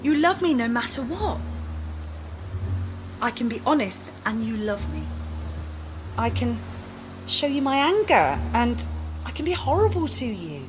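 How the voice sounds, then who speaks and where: A woman's voice: on the high side, big pitch swings, a little slow, long pauses, background noise. A woman playing a volatile, needy, cutting girlfriend in an intimate audio drama, blunt, profane and intense. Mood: tender, pleading. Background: music.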